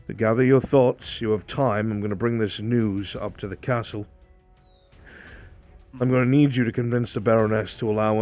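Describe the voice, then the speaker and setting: Guy doing a fantasy army marshal, low voice, formal n measured, giving orders, faint music behind him. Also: a little mellow, natural voice. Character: commanding male military marshal in dark fantasy. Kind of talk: improvised fantasy roleplay acting. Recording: background music under the voice.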